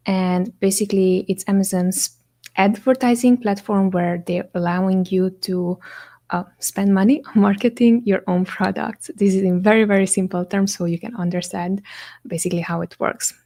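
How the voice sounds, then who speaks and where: A woman's voice: mid-range voice, a little mellow. Romanian woman explaining ads on a live stream. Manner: conversational, explains step by step with frequent fillers and "right?". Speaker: agency co-founder hosting a live Q&A.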